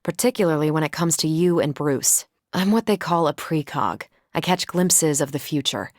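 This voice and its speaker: Confident, low-voiced female superhero. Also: animated, normal speed, no room sound, clean studio sound. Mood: matter-of-fact. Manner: wry, breezy, assured. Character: confident, wry female superhero soldier, warm and combative. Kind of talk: video game voice acting. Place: dry studio recording.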